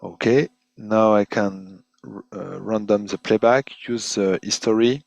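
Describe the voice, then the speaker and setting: A man presenting an unscripted webinar software demonstration in halting, hesitant phrases, often repeating words as he goes. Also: fairly low pitch, steady tone, creaky, no room sound, muffled recording. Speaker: product presenter giving a software demo.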